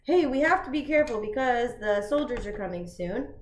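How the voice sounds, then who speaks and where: A woman's voice: a little high, lively intonation, brisk, slight background noise, close to an everyday voice. A woman playing a kind, caring guardian. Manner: reassuring, motherly. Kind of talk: home puppet show. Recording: echoey room.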